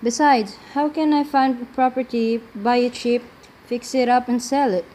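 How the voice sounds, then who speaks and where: Female amateur as polite, skeptical radio interviewer, fairly high voice, faint noise behind. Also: steady tone, unhurried, dark tone, natural voice. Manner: formal, challenging questions. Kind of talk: scripted interview in a student radio play.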